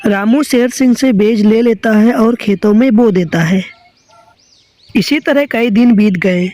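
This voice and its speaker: Storyteller voice narrating a cartoon fable, scripted, middle pitch. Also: measured pace, warm tone, dry recording.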